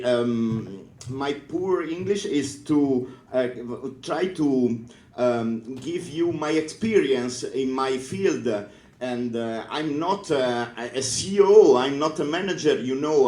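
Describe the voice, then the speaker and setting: A middle-aged man from northern Italy with an Italian accent, giving a conference talk in English in a hesitant, halting way with many filler sounds. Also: medium pitch, a little mellow, echoey room. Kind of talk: conference speech. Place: conference room.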